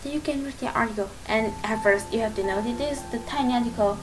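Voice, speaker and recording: A woman whose first language is Chinese, speaking English with a Chinese accent, giving a casual spoken lesson as a channel host, halting and searching for words with many fillers but encouraging and reassuring. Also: a little high, rough recording.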